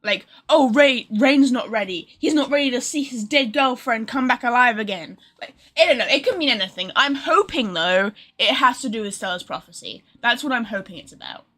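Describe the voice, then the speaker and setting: Bright-voiced woman streamer. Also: a little high, animated, brisk, hardly any pauses. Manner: rambling, speculative, trailing off. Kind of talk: casual livestream commentary.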